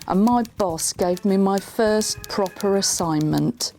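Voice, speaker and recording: A woman's voice: mid-range voice, takes it slowly, warm tone. A woman playing a former trainee reporter, narrating her memories in a scripted radio drama. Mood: reminiscing. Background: music.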